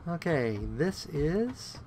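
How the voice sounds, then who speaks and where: A man giving casual gameplay commentary on his own play, a bit croaky today, counting items aloud and humming to himself. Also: fairly high pitch, sing-song, very slow, darkish tone, slight background noise. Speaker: game streamer.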